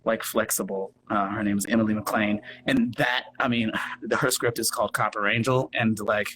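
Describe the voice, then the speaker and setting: A man chatting casually as an interview guest, expressive and thinking aloud as he explains his work. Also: on the low side, moderate pace, slight background noise, rough recording. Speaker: radio play producer and guest. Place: remote live video chat.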